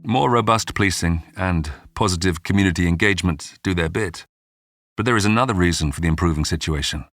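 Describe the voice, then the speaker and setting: A man hosting a history programme, reading scripted documentary narration in a measured, polished storytelling way. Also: low-pitched, a bit bright, dry recording, faint music behind. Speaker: podcast narrator and host.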